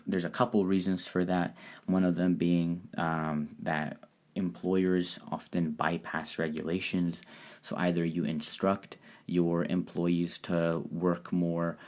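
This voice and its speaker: A man hosting a solo podcast, talking through a topic in a measured, explanatory way. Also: sits low, darkish tone. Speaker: podcast host. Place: lives in Japan.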